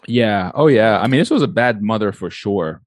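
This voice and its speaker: A man's voice: fairly low pitch, animated, normal speed, no room sound. A man co-hosting a podcast in casual chat, loose and joking with plenty of fillers. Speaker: podcast co-host.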